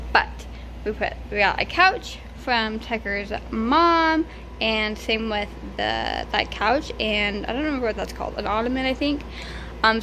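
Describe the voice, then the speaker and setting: A woman's voice: a little high, fairly bright tone, a little hiss or hum, low-quality recording. A woman in her twenties giving a casual tour of a small apartment, holding a phone camera and talking in a loose, chatty way with many fillers. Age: 20s. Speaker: vlogger. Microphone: handheld phone camera.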